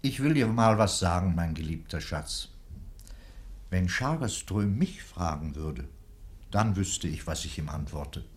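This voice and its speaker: An elderly country clergyman for a radio play, in a fairly low voice. He speaks with avuncular fondness and gentle hesitation, a devoted and indulgent old husband. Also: lively intonation, unhurried. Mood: fond, playful. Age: older. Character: kindly old married provost, indulgent and affectionate. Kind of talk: German literary radio drama acting.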